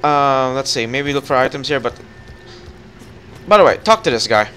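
A man's voice: mid-range voice, animated, moderate pace, a bit bright, slight background noise. Man leading a co-op game session. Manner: guiding and giving directions, with fillers and restarts. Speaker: gaming channel host. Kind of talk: casual co-op gameplay chat.

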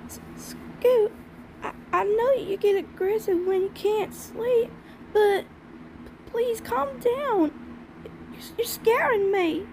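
Woman as a timid, stammering, high-voiced filly. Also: slow, darkish tone, a little hiss or hum, full character voice. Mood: frightened. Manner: stammering, pleading. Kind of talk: fan comic dub acting.

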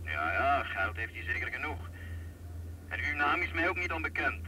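Actor as a brusque police commissioner, distant and noisy. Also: male voice, mid-range voice, big pitch swings, normal speed, lightly acted. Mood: scornful, grudging. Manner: brusque, dismissive. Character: impatient, condescending male police commissioner. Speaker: actor in a radio drama. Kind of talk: Dutch-language radio play acting. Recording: distant, noisy telephone-style radio recording.

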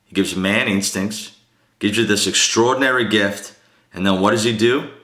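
A man's voice: fairly low pitch, normal speed, fairly bright tone, natural voice. A man performing a dramatic monologue as the Devil, a gleeful, scornful tempter who rants theatrically and emphatically against God, sly and confiding or roaring. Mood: sly, mocking. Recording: roomy, echoing amateur room recording.

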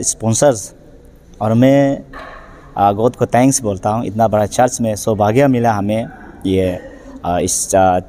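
A middle-aged man, the album's sponsor, talking to news cameras in a church hall, with many "uh" pauses. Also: fairly low pitch, mellow, slight background noise. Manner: slow, many "uh" fillers. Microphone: handheld news mics in front.

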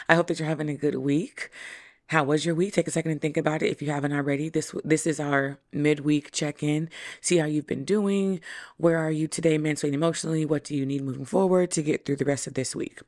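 A woman in her thirties with a hoarse, raspy voice, hosting a solo podcast and explaining ideas in a conversational way, speaking into a stand mic in a small room. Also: sits low, fairly even intonation, a little fast, darkish tone. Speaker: podcast host. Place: small decorated room indoors. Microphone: studio mic on stand in front.

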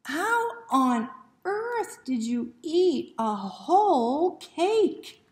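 A woman's voice: sits high, sing-song, extremely slow, voice pushed up, exaggerated voice. A woman voicing an upset male pet owner scolding his dog in a children's storybook, exclaiming and drawing out the words. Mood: exasperated, shocked. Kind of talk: storybook read-aloud for children. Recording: roomy, faint background noise.

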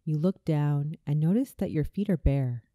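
Very dark, mellow low-voiced woman narrating meditation. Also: expressive, no room sound. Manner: soothing, slow, deliberate delivery. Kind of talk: scripted guided visualization narration.

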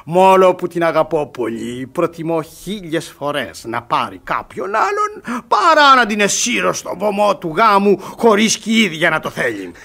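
A man playing a tolerant, reasonable elderly gentleman in a radio theatre comedy, earnest and declamatory. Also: high-pitched, big pitch swings, creaky, hardly any pauses, heavily acted.

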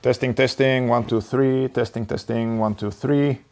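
A man in his forties, a native Bulgarian speaker, demonstrating wireless mic gear in his workshop, explaining step by step with frequent pauses. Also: mid-range voice, fairly even intonation, darkish tone. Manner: slow, methodical explaining with frequent uhs. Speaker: woodshop channel host. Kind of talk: unboxing and gear demo. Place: cluttered home workshop.

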